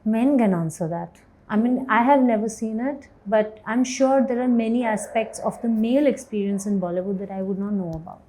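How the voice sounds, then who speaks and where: A woman in her forties, an actor and writer, speaking candidly in an interview with a low voice, thoughtful pauses and easy laughter. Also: mellow, a little hiss or hum, rough recording. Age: 40s. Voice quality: low voice, self-described as bass. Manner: thoughtful, hesitant, laughs easily.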